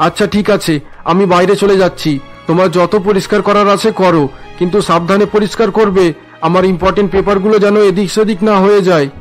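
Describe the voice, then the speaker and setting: A man acts an older family patriarch in a cartoon drama. He talks fast in a high voice, impatient and demanding, over music. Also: steady tone, lightly acted. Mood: businesslike. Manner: brisk, demanding.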